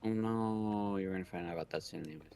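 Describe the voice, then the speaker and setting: Casting: male stream co-host, deeper voice, loose joking delivery over quiet music. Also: fast talker, vocal fry. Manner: joking, sweary, needling. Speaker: stream co-host reading the game's lines aloud. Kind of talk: casual banter and reading aloud from a visual novel. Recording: music playing behind the voice.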